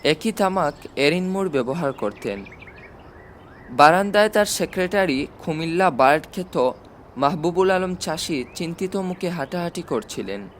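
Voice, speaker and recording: A man's voice: on the high side, animated, normal speed, a bit bright, a little hiss or hum. A man reading a novel aloud as a scripted audiobook narration. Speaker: audiobook narrator and channel host.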